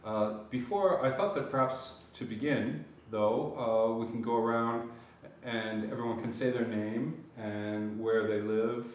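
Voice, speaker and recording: A man leading a writers' workshop in an informal, halting and polite way. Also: mid-range voice, steady tone, darkish tone, distant mic. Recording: very echoey room with faint noise.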